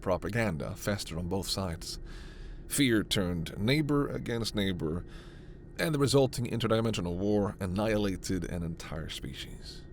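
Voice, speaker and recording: A man playing a gentle, earnest alien scientist in a sci-fi ASMR roleplay, speaking in a measured, hesitant, soft way. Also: lowish voice, lively intonation, a little hiss or hum. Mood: solemn. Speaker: voice actor.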